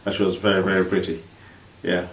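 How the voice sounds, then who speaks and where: A man, co-hosting a vlog in halting, casual chat. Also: sits low, completely flat delivery. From East Africa, born in Kenya, Ugandan. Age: 30s. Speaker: vlog host. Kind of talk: casual couple chat to camera. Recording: roomy, distant mic, faint noise.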